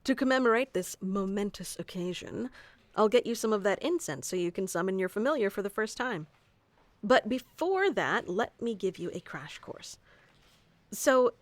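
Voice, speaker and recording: A woman's voice: mid-range voice, no echo, natural voice. A woman playing a bossy, geeky tsundere wizard teacher who explains things informally and smugly in an ASMR roleplay. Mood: smug, instructive. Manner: informal, smug, flustered asides, explanatory. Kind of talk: scripted ASMR roleplay audio addressed to the listener.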